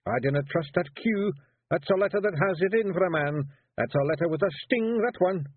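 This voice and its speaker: A man's voice: high voice, brisk, husky, no echo, phone-quality sound, voice pushed up. A man voicing a fierce, stubborn tiny pixie chief with a broad Scottish accent and a growling bluster. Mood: grumbling.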